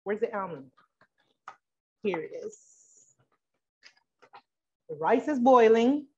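Middle aged lady doing a live cooking demo, really slow talker, muffled lo-fi sound. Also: on the high side, animated, a little hiss or hum. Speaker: cooking class instructor. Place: indoor kitchen with steel fridge and counters.

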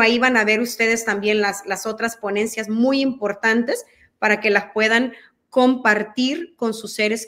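A woman's voice: a little high, a bit bright. A woman in her thirties from El Salvador, speaking Spanish with a Mexican-sounding accent, talking earnestly on a video call as a guest, with many fillers and restarts. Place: dim room at home. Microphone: video call laptop mic.

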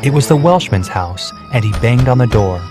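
Measured male storybook narrator. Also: mid-range voice. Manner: clear, measured, steady. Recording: soft background music.

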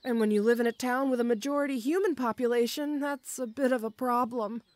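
A young woman playing a shy, gentle tomboy orc schoolgirl in an audio roleplay, with a hesitant, stammering, bashful manner. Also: on the high side, fairly quick, no echo, close to an everyday voice. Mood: wistful.

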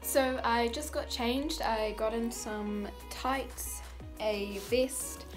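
Unhurried New Zealand teenage girl vlogging. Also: on the high side, faint music behind. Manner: giggly, informal. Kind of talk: casual vlog chat. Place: rooms at home, then stable and paddock. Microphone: phone at arm's length.

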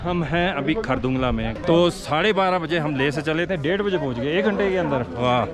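A man in his thirties vlogging on a motorcycle ride up a mountain road, chatty and excited, a little short of breath from the altitude. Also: a little high, normal speed. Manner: chatty, excited, points out views. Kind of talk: casual travel vlog narration. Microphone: helmet or body camera while riding. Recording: loud wind and engine noise.

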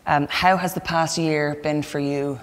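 A woman's voice: sits low, fairly even intonation, unhurried. A young adult woman with an Irish accent asking questions in a friendly interview. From Kildare, Ireland. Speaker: interviewer, musician. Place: large historic hall indoors. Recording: reverberant large hall.

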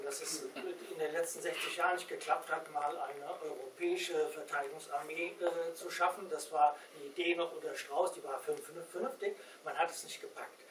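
Higher-voiced man commenting from across a room. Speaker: audience member commenting. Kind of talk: spontaneous comment in a discussion. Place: small indoor meeting room. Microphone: distant camera mic. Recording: echoey room, distant mic, background noise.

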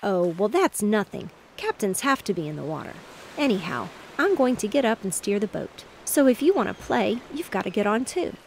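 A woman reading aloud, voicing an excitable, bossy young boy who plays ship captain. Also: medium pitch, moderate pace, a little hiss or hum. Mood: eager. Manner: eager and insistent.

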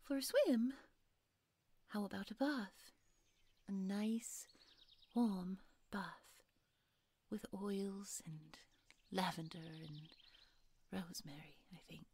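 A woman playing a sweet, flirtatious healer, gentle and coaxing, with a breathy voice in an audio roleplay. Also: medium pitch, slow pace, long pauses. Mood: doting, coaxing.

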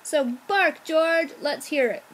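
A self-assured storybook veterinarian, read by a woman with a very high voice. The delivery is declarative and confident, like a professional taking charge. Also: slow pace. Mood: puzzled, determined.

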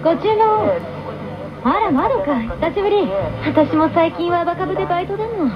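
A woman's voice: very high voice, expressive, moderate pace. A woman acting a breezy, casual, teasing cocktail bar worker in an anime radio drama. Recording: muffled AM radio recording. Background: music.

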